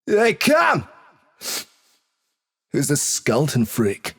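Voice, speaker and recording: Cocky young god punk for an audiobook, sneery and taunting, voice swoops around, kinda raspy. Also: male voice, on the high side, huge pitch swings, drawn-out words, fairly bright tone, voice pushed up, exaggerated voice. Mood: brash, scornful. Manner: sneering, condescending.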